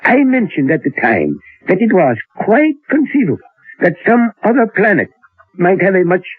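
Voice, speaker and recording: A thin, roomy old radio recording carries an elderly professor. He is learned and secretive, musing aloud with theatrical hesitations and lively swings of pitch. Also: moderate pace, slightly rough, phone-quality sound, heavily acted. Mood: grave, confiding. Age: older. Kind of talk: old-time radio drama acting.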